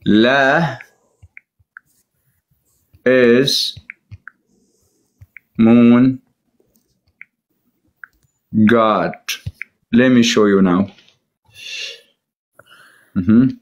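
Slow, halting man. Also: mid-range voice, steady tone, muffled recording. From Syria. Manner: slow, halting English, searching for words. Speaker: live-stream debater. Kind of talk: casual live-stream debate chat. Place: home room with bookshelves.

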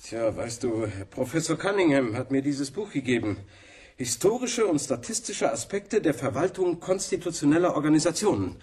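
A man's voice: fairly high pitch, very animated, moderate pace, a little mellow, breathy edge. An actor playing a fussy, reluctant academic man in a radio play, muttering and pedantic.

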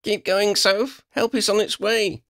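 A man's voice: very high-pitched, expressive, normal speed, creaky, a bit bright, pitched above the performer's own voice, exaggerated voice. A man voicing an earnest, fiery young woman, a witch in a storybook, pleading and emphatic. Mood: shouting.

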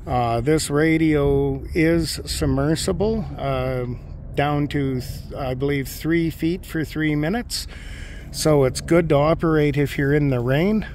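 A man's voice: on the high side, darkish tone. A man giving an informal, matter-of-fact walkthrough of his gear, explaining each piece as a hobbyist. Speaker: amateur radio hobbyist presenting gear. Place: outdoors on a lawn. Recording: outdoor recording with clear background noise.

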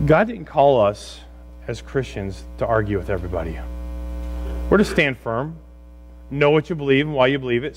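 A man's voice: medium pitch, low-quality recording. A middle-aged man preaching as a pastor, conversational and exhorting, putting rhetorical questions to his congregation. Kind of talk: sermon to a congregation. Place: church room, speaking from a stage. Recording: steady mains hum and noise.

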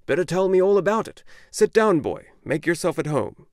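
A man voicing a grumbling old male bookseller in an audiobook, with a gruff manner. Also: sits high, lively intonation, normal speed, dry recording, clean studio sound, pitched above the performer's own voice. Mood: coaxing. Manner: gruff, puffing a pipe. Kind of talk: audiobook character voice.

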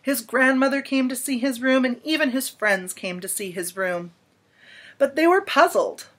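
A woman in her thirties reading a children's picture book aloud in an expressive, dramatic storytelling manner with emphatic pauses. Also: high voice. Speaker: storybook reader. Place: small indoor room at home.

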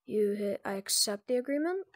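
A speaker giving informal, improvised step-by-step instructions in a casual screen-recorded software tutorial. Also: mid-range voice, expressive, normal speed, dry recording. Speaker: tutorial presenter.

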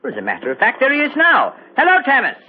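Courteous young earl on tinny old radio. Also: male voice, very high voice, wildly swooping pitch, fast, slight background noise, exaggerated voice. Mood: hospitable. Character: young Scottish earl, courteous host of a haunted castle. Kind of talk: 1940s radio acting.

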